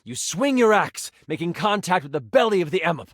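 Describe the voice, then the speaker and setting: A man voicing an excitable, nerdy game master, narrating tabletop fantasy dramatically and dropping into casual, hesitant asides. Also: sits high, fairly quick, no echo, clean studio sound, exaggerated voice. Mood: dramatic. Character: living D20 die, excitable nerdy male game master.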